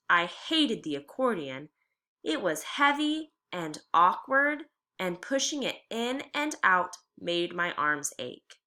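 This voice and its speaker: A woman teacher giving a read-aloud lesson, explaining deliberately and reading expressively. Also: medium pitch, pushed and bright.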